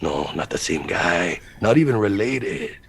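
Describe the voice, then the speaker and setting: An easygoing cyberpunk street tough, voiced by a man, very deep and slow. The delivery is animated, with a gravelly edge and a little background noise. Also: exaggerated voice. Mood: relaxed, friendly.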